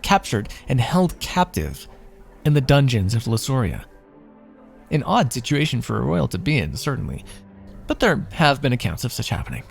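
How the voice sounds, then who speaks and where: A man's voice: medium pitch, gravelly. A man playing a smug, lovestruck royal in an ASMR fantasy roleplay, with theatrical, teasing, courtly phrasing.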